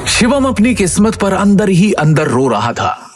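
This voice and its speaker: Animated, higher-pitched male story narrator. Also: unhurried, music in the background. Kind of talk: dramatic scripted Hindi audio story narration.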